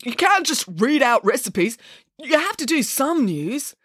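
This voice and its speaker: A woman's voice: high-pitched, sing-song, unhurried, a bit bright, husky, dry recording, studio quality, pitched above the performer's own voice, exaggerated voice. A woman voicing an indignant, flustered television news director in a children's story, protesting and wailing. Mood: exasperated. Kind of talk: acted children's storybook dialogue.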